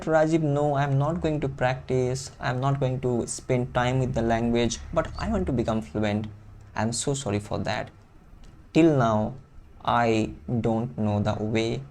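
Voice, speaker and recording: A Bengali-accented man gives a motivational English lesson with an earnest, insistent delivery. He hammers points home by repeating phrases. His voice sits at a middle pitch with a mellow, dark tone. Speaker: English speaking coach. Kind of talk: motivational teaching monologue.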